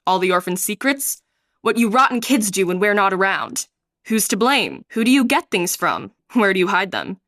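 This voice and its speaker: A woman's voice: medium pitch, very animated, fairly bright tone, dry recording, studio quality. A woman voicing a cold, manipulative male orphanage director in a radio play. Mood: menacing. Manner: slow, threatening, bargaining.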